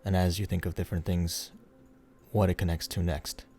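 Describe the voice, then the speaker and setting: A man leading a guided meditation in a soothing, unhurried, instructional way, leaving pauses between phrases. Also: low-pitched, level tone, mellow. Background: background music.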